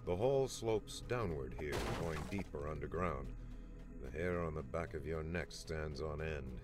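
A man playing a grave, slow, foreboding dungeon master who narrates a fantasy video game. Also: rumbling low, over loud music. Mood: ominous. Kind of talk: atmospheric scripted narration. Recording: quiet under loud game audio.

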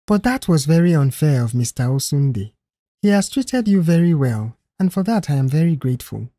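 A woman voicing a fair-minded, concerned mother of grown sons in an audio story, gently reasoning. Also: low-pitched, sing-song, normal speed, no echo, studio quality.

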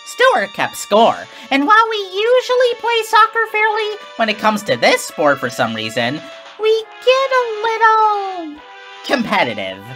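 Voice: extremely high pitch, wildly swooping pitch, measured pace, bright, forceful tone. A narrator reading a scripted children's comic read-along story aloud. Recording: music behind the voice. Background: music.

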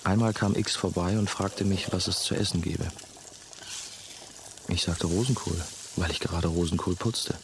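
Very deep-voiced brooding narrator. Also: male voice, steady tone, gravelly, noisy background. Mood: brooding, confiding. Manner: measured, confiding, terse. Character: brooding young former novice, haunted and obsessive. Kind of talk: first-person radio drama monologue.

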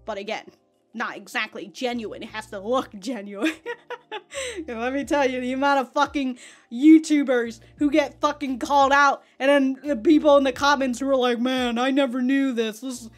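A woman's voice: high voice, fairly even intonation, normal speed, faint music behind. A young woman hosting an anime reaction video, rambling loosely and swearing often as she laughs between thoughts into a studio mic. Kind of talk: casual reaction commentary. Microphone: large studio mic in front.

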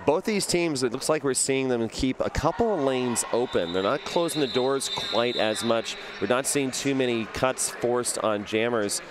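A man's voice: mid-range voice, moderate pace, few pauses, background noise. A man commentating a live roller derby game, explaining tactics and reading promos and notes. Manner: analytical, reads promos and notes. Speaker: sports commentator.

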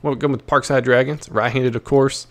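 A male gaming host with a medium-pitched voice. He comments casually on what he is playing, thinking aloud in an easygoing way. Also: steady tone, normal speed.

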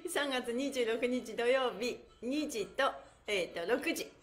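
A woman's voice: fairly high pitch, slow pace, echoey room, soft background music. A middle-aged woman hosting a chatty live exercise class, bubbly and playful as she addresses her viewers. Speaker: pantomime teacher and livestream host. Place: indoor room with bare walls and exercise mat.

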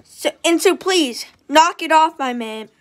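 A woman voicing a bossy, excitable little schoolgirl in a storybook reading, shrill and emphatic. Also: moderate pace.

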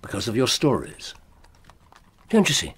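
An actor playing a brilliant, musing English detective in a radio drama, with deliberate, theatrical phrasing. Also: male voice, mid-range voice, wildly swooping pitch, husky. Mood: earnest. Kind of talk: BBC radio drama acting.

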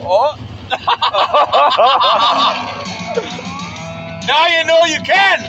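A man's voice: extremely high pitch, lively intonation, drawn-out words, raised voice, dull audio, music in the background, crowd noise. A man riding along on wooded off-road trails, casually commenting on the riders and cheering them on. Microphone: camera mounted in side-by-side.

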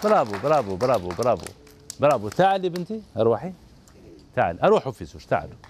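Algerian guy in his 40s hosting a kids show, very lively sing-song voice, keeps cheering the kids on, handheld mic in a tv studio. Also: medium pitch, very fast, soft background music. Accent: Algerian Arabic. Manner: gentle, encouraging, repeats praise over and over. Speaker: children's TV show host. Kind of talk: coaxing kids on stage. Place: indoor TV studio set.

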